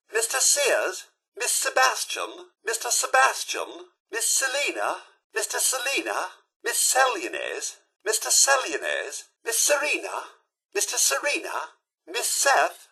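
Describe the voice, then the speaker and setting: A man's voice: high voice, gravelly, pushed and bright, slightly rough, full character voice. A man playing a polite British robot butler in a video game, formal and prim, with exaggerated enunciation. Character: polite, prim robot butler, male-voiced, eager to serve. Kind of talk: video game voice lines, names called out formally.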